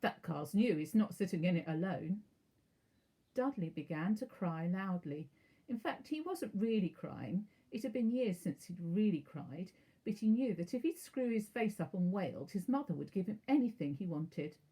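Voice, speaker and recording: A woman narrating a children's storybook aloud as a teacher would, in an expressive, measured way. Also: medium pitch, husky, poor audio.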